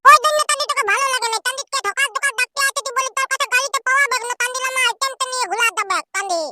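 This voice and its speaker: A squeaky cartoon village pal nags insistently at an extremely high pitch. The delivery is very fast and comic, with a Purulia accent. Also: male voice, over loud music. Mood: pestering. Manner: insistent, nagging.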